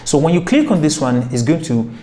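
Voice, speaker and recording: A man from Nigeria, a voiceover artist walking through a software tutorial step by step. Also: a little high, very animated, fairly quick. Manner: step-by-step explaining, direct opinions.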